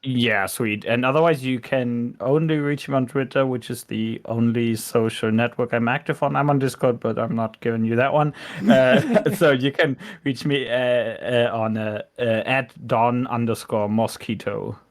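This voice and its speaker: Medium-pitched man in casual chat. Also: fairly even intonation, moderate pace, hardly any pauses. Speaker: guest co-commentator.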